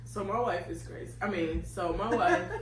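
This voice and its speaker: A woman's voice: fairly low pitch, normal speed. Playful woman in an echoey room. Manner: playful, laughing, teasing. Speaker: co-host. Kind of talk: casual chat.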